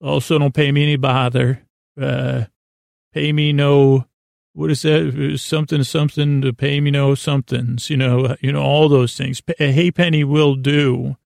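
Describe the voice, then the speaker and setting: Male sleep-podcast host, heavy vocal fry, meandering and soft-spoken, dry close mic. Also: medium pitch, little pitch movement, no echo. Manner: meandering, halting, many fillers and restarts; soft and gentle. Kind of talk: rambling bedtime podcast intro.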